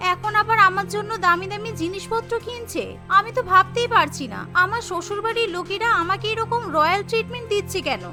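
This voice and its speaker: A woman voicing a meek, polite young bride in a cartoon, modest and deferential. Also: very high-pitched. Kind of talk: cartoon character dialogue.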